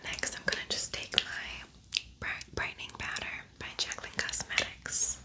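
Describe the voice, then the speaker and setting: A woman whispering in a breathy, unvoiced voice, chatting casually and a little ramblingly through an ASMR makeup tutorial. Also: moderate pace. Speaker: ASMR beauty vlogger.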